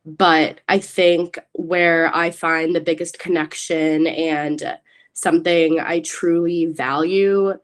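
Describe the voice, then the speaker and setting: A young woman in her twenties, a graduate student speaking earnestly on a panel, often pausing with fillers. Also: deep voice. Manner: earnest, with frequent "um"s. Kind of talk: panel discussion.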